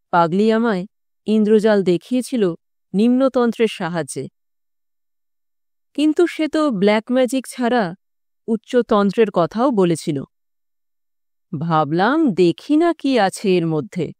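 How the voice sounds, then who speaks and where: A woman's voice: mid-range voice, lively intonation, a little slow, pauses often, a little mellow, no room sound, studio quality, close to an everyday voice. A woman voicing a worldly-wise male tantric occultist recounting his wandering youth in an audiobook. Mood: reminiscing. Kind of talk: audiobook storytelling.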